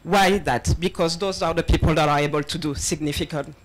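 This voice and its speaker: A woman's voice: deep voice, steady tone, fairly quick. A woman in her thirties, born in Senegal and raised in France, giving a conference talk into a handheld mic, a little nervous, with many ums. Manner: nervous, laughing between points, many ums. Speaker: conference speaker on diversity hiring. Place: large event space, partly open-air, with audience. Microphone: handheld microphone.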